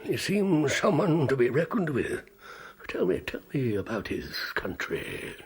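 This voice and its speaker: An actor playing an ailing, imperious and dry-witted old medieval pope in a radio drama, halting and stately. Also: male voice, mid-range voice, wildly swooping pitch, vocal fry, heavily acted. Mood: curious, musing. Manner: halting, stately, wryly fastidious. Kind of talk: radio drama acting and first-person narration.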